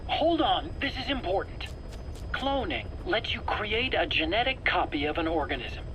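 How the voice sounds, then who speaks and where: An actor playing a nervous, eager scientist under interrogation in a video game, self-justifying in an earnest, explanatory, pleading way. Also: male voice, sits high, big pitch swings, normal speed, sharp tone, exaggerated voice. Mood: secretive, insistent. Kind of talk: dramatic video game voice acting. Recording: faint background noise, cassette tape interrogation.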